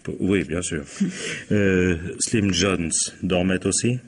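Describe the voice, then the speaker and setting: A police inspector in a radio whodunit with a deep, rough-edged voice. He questions patiently, probing with dry irony and an indulgent, wry tone. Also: steady tone, slow. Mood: dryly ironic. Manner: dryly ironic, probing, indulgent. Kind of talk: French radio mystery drama acting.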